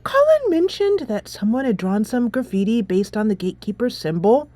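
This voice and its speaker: A woman's voice: fairly high pitch, sing-song, normal speed, no echo. A woman voicing a sweet, anxious teenage schoolgirl in a game, hesitant, polite and apologetic. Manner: hesitant, polite, full of ums. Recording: soft music behind the voice.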